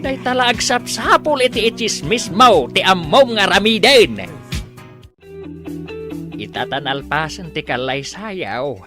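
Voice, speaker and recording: A man's voice: high-pitched, big pitch swings, measured pace, over music. A man with an Ilocano accent acting a stubborn, bossy village father in a radio drama, blunt and emphatic. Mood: stern. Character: stubborn village father, bossy yet tender to his daughter.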